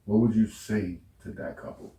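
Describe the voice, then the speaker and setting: A man's voice: lowish voice, steady tone, moderate pace, dark tone. An American man hosting a casual interview, informally prompting his guests and agreeing with them. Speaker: interview host. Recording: echoey room, distant from mic.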